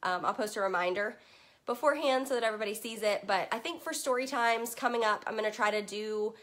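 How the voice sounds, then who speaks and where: A woman's voice: a little high, fast, fairly bright tone. Chatty young woman with a fading voice. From Virginia. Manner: chatty and upbeat, many "um"s, greets viewers by name. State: losing her voice from allergies. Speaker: children's book consultant and host. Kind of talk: casual chat to a live group. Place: home room in front of full bookshelves. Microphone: webcam at desk distance.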